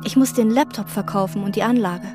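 An actress voicing the quiet, brooding inner monologue of an obsessive young hotel maid in a radio drama. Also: female voice, medium pitch, fairly quick, close to an everyday voice. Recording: narration over loud music. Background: loud music.

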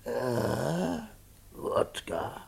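An actor playing a drunken, befuddled coachman in a German radio play, slurred, halting and rambling. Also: medium pitch, expressive, gravelly, a little mellow, husky, full character voice. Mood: drunk, confused. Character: drunken, befuddled male coachman, good-natured old servant.

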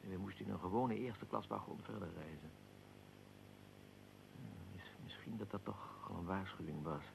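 An actor playing a proud, aggrieved middle-aged archduke in a radio drama, speaking formally and deliberately with a gravelly voice. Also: male voice, sits low, background noise. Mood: reflective. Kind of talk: radio drama, historical figure being interviewed.

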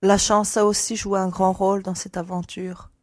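Lady acting as a composed female space agent in a sci-fi audio play, bit wry, medium pitch, dry recording. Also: little pitch movement, normal speed, no echo, close to an everyday voice. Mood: modest, composed. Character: poised, modest female space agent, playfully familiar. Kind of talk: French science fiction audio drama dialogue.

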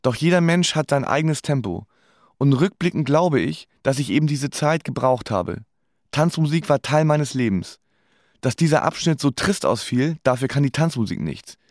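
A man's voice: medium pitch, lively intonation, moderate pace, no room sound, studio quality. A man narrating his own story in a wry, resigned, matter-of-fact way. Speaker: narrator of an autobiographical radio play. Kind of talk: scripted first-person narration. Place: dry studio.

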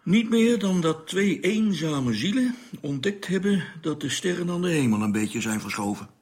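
An actor playing a wry, questioning young radio operator aboard a spaceship in a radio play, speaking colloquially. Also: male voice, a little high, very animated, normal speed, a little mellow. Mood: wry, puzzled.

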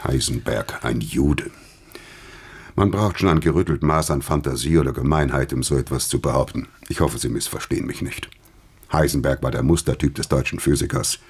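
An actor playing a grave older German physics professor in a radio drama, speaking in a husky, deliberate, pointed way. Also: male voice, rumbling low, full character voice. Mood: grave, sardonic.

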